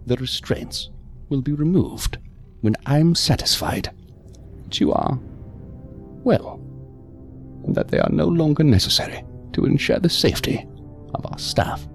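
An actor playing a calm, evasive male psychiatrist in an audio drama, speaking in a measured, formal, unctuous manner. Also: a little high, vocal fry, soft background music, exaggerated voice. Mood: evasive, controlling.

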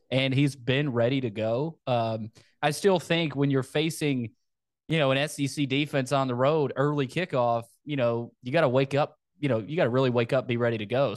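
American guy hosting a football pod, casual chat, normal pitch, really dry close sound. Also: dry recording. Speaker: sports podcast host.

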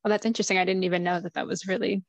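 A woman in her thirties hosting a podcast, with a gravelly vocal fry under a mid-range voice. She jokes lightly and asks curious questions, and the sound is dry and close with no room echo. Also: medium pitch, fairly even intonation, racing. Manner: friendly, jokey, curious questions. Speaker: podcast host. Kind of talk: casual interview chat.

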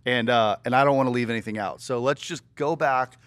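A man's voice: medium pitch, brisk, a bit bright, no room sound. Laid-back man hosting a podcast. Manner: laid-back, hesitant, many fillers. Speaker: podcast host. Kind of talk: casual interview.

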